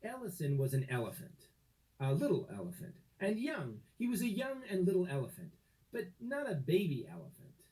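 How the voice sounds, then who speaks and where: A man narrating a children's story from a script, with dramatic, deliberate phrasing and expressive emphasis. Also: high-pitched, low-quality recording.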